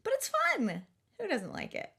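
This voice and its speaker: A woman's voice: on the high side, wildly swooping pitch, moderate pace, creaky, bright, forceful tone, husky. A woman podcast co-host and comic chatting casually in slang, swearing freely as she banters.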